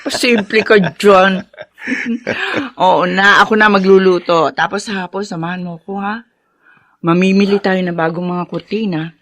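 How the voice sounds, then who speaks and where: An actress with a Filipino accent playing a warm, teasing provincial woman in a radio drama, familiar and expressive. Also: medium pitch, unhurried, no room sound, natural voice. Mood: playful.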